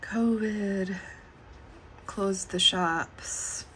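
A middle-aged woman losing her voice, chatting slowly and musing aloud as she flips through her planner at a desk. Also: medium pitch, fairly bright tone, a little hiss or hum, poor audio. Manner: slow and musing, thinking aloud. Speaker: planner channel host. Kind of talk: casual plan-with-me chat. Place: indoors at a desk.

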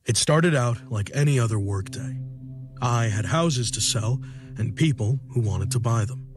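A man's voice: medium pitch, animated, a little slow, natural voice. An actor playing a breezy, self-satisfied male realtor, telling his story conversationally in a first-person horror audio drama. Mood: breezy, confiding. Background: music.

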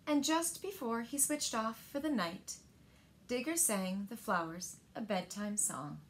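A woman in her thirties reading a picture book aloud to children in a gentle, deliberate manner, pausing to ask them questions. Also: a little high, a little hiss or hum. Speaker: library storyteller. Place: indoors, small living room by windows.

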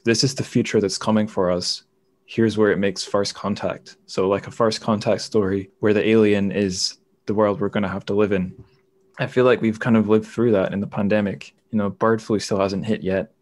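A man's voice: fairly low pitch, darkish tone. A man in his twenties hosting a podcast, discussing literature in a thoughtful, hesitant way. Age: 20s. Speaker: podcast host.

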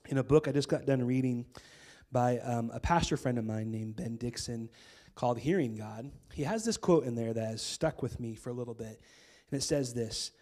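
Hoarse American pastor preaching casually. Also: male voice, medium pitch, moderate pace, warm tone. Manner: casual, conversational preaching with many fillers. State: hoarse from a cold. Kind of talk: sermon. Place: church stage with string lights. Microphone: handheld.

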